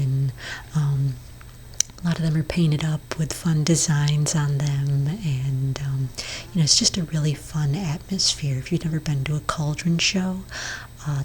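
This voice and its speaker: A woman speaking in a breathy whisper for a soft-spoken ASMR roleplay, playing a gentle, hesitant therapist for witches. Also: low-pitched, a little hiss or hum. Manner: gentle, hesitant, halting counsel.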